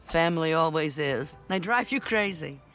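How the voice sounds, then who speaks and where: An actress playing a wise, caring member of a hero band in an animated video game. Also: female voice, sits low, moderate pace. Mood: wise, gentle. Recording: music clearly underneath the voice. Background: music.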